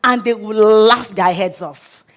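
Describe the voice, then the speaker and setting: A woman with a Nigerian English accent giving an inspirational storytelling talk on stage, expressive and dramatic. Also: medium pitch, a little slow. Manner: expressive, dramatic, acts out quotes. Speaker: speaker. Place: auditorium stage.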